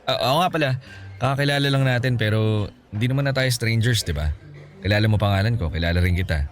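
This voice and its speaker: Low-voiced, teasing Filipino suitor, played by an actor. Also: male voice, fast talker, faint music behind, natural voice. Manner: playful, coaxing, conversational. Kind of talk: Filipino radio romance drama acting.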